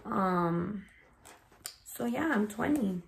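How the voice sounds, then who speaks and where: A woman's voice: medium pitch, lively intonation, drawn-out words, vocal fry, rough recording. A woman of twenty chatting casually in a bedroom Q&A vlog, slangy and mixing in Spanish words, recorded on a phone. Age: 20s. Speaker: vlogger. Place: small bedroom. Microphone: phone camera at arm's length.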